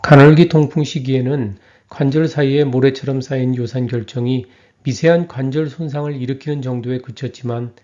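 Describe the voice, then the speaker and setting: A man reading a scripted health lecture in an even, measured way. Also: mid-range voice, highs cut off.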